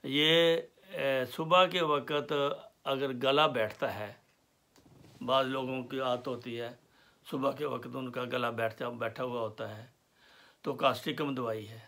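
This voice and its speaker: A man lectures on homeopathic remedies at an unhurried crawl. His voice carries a gravelly fry, and he takes his time, filling gaps with soft hesitations as he lists symptoms. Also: mid-range voice, fairly even intonation. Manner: slow and deliberate, with pauses and fillers. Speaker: homeopathy teacher. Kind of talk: slow explanatory lecture.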